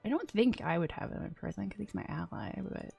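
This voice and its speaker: A woman streaming a strategy game and chatting casually, a bit sniffly from a runny nose, often dropping into soft whispered asides. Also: mid-range voice, very animated, a little fast, gravelly, slightly rough, faint music behind. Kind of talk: casual gameplay commentary.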